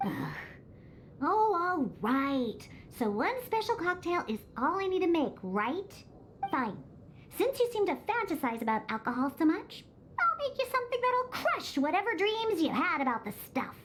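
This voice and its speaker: High-pitched grumpy cat-girl kid. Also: sing-song, moderate pace, slight background noise. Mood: grudging, sassy. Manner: childlike, sassy, dismissive. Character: grumpy young cat-girl bartender who scorns drinkers. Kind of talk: anime game voice acting.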